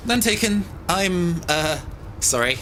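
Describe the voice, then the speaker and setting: A man's voice: high voice, animated, takes it slowly, fairly bright tone, a little hiss or hum, exaggerated voice. An actor playing a mild-mannered, slightly awkward tech guy in a science fiction audio drama, chatty but hesitant. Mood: sheepish, relieved.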